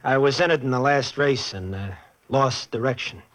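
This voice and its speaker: A man's voice: medium pitch, normal speed, a bit bright, lightly acted. An actor playing a slangy, evasive young con man on the run, in 1940s radio drama style. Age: young adult.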